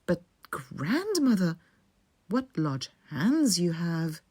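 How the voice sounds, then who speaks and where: A woman's voice: on the low side, very animated, drawn-out words, darkish tone, slightly rough, full character voice. A woman voicing an innocent, sweet little girl in a fairy tale, with a naive, wide-eyed, exclaiming manner. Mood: astonished.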